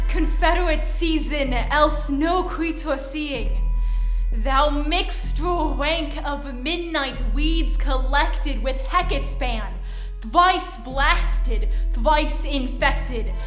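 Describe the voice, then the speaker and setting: A woman playing a menacing stage poisoner, declaiming rhymed Shakespearean verse like a deliberate incantation. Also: sits high, a bit bright, roomy sound, faint music behind, exaggerated voice. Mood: sinister, relishing.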